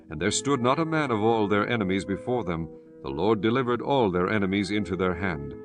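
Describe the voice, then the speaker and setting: A man narrating scripture for an audiobook in a measured, solemn way. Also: fairly low pitch.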